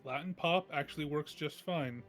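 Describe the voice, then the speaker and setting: A man banters casually with a group on a livestream, joking and swearing. His voice is bright and forward, a little higher than average. Quiet music sits behind it. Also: normal speed.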